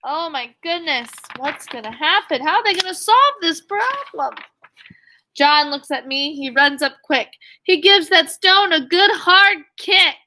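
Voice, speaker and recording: A woman's voice: very high voice, animated, measured pace, sharp tone, slight background noise. A woman teacher reading a story aloud to young children, expressively, with questions and asides. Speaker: elementary school teacher.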